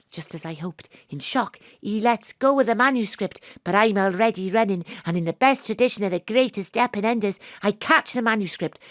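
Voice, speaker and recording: A woman acts out a self-assured female investigator in an audio drama. She tells her adventure in the first person with dramatic storytelling flair, at a medium pitch. The sound is close and dry. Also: no room sound, close to an everyday voice. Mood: suspenseful, wry. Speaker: lead narrator.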